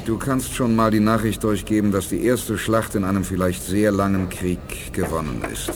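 An actor playing a resolute male spaceship commander in a 1980s German sci-fi radio play, speaking with measured authority. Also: lowish voice, a little mellow, slight background noise, lightly acted.

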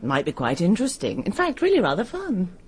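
A woman's voice: mid-range voice, huge pitch swings, a little fast, husky. An actress with a British accent playing a cool, witty, condescending intellectual woman in a radio drama, arch and articulate. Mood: amused, breezy. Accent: British English.

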